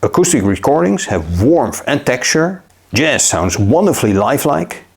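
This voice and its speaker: A man narrating a scripted gadget review in a measured, upbeat way. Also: mid-range voice, big pitch swings, gravelly, slightly rough. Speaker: tech reviewer.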